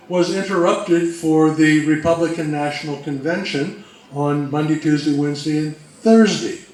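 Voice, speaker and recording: A man co-presenting an informal history talk, explaining things in a measured, step-by-step way. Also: fairly high pitch. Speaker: co-presenter at a fan convention talk. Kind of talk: informal lecture on old radio history. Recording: very echoey room, distant mic, faint noise.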